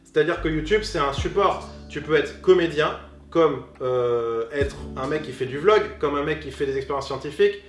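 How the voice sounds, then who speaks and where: A man in his thirties talking casually as an interview guest, animated and talkative, often restarting his sentences. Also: fairly high pitch, normal speed. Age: 30s. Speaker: guest, comedian. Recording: echoey room with music behind.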